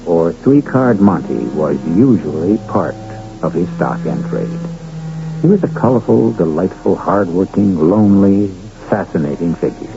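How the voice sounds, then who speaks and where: Casting: low-voiced American male storyteller, expressive dramatic delivery, muffled vintage radio broadcast with music behind. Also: gravelly. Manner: deliberate, storytelling cadence. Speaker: narrator and host. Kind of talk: dramatic scripted radio narration.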